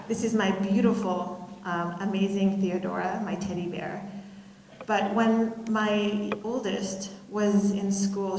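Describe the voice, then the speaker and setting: A middle-aged woman giving a keynote speech, telling personal stories in a measured, reflective way with pauses. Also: medium pitch, a little mellow, a little hiss or hum. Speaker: keynote speaker. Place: school auditorium, live audience. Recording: roomy hall reverb.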